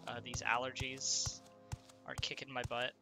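Male let's-play commentator, congested, conversational and self-questioning, game soundtrack clearly audible behind him. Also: mid-range voice, flat delivery, measured pace, over music. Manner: chatty, thinks aloud, asks himself questions. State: stuffed up, congested. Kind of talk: casual gameplay commentary.

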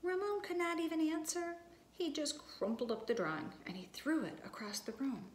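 A woman preschool teacher reading a story aloud to young children, expressive and deliberate, speaking to them directly. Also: high-pitched. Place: empty classroom. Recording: echoey room with background noise.

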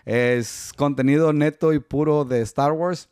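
Guy hosting a chill podcast, kinda high voice, dry close mic, lots of fillers n jokes. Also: unhurried, a little mellow, clean tone, no echo. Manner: joking, rambling with fillers and restarts. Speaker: podcast host. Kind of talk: casual chat. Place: collectibles room with toy shelves, indoors. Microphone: studio mic on boom arm.

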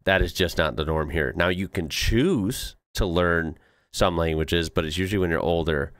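Deep-voiced, easygoing American man. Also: moderate pace, a bit bright, no room sound, clean studio sound. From United States. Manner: easygoing, chuckling, talks in loose run-on asides. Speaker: reaction channel host. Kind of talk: casual reaction commentary. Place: small home studio room with decorated walls. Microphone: close studio mic with headset.

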